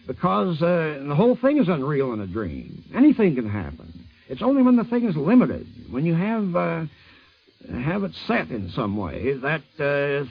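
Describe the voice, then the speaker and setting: A man, a writer being interviewed, talking in a hesitant, halting way with many pauses on old radio tape. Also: on the high side, breathy edge, lo-fi sound. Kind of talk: unscripted interview talk.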